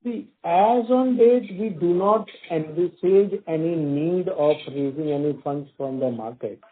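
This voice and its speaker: A man's voice: a little high, measured pace, a little hiss or hum. A male company executive, answering analysts' questions on an earnings call, hesitant with many fillers and restarts. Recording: tinny phone line.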